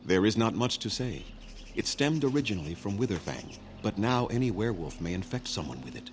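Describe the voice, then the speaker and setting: A grave elven clan leader from a fantasy game, with a very low voice and formal, measured speech. He sounds weary and solemn, with clear outdoor ambient noise around him. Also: very animated. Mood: solemn warning. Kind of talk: scripted video game dialogue.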